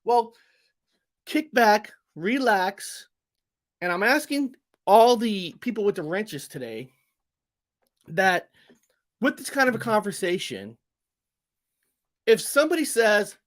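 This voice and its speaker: A man hosting a show on his own, rambling and repeating words as he talks straight to viewers, his voice going hoarse as he gets sick. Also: sits high, big pitch swings, takes it slowly, long pauses. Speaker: show host. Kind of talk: casual solo podcast monologue.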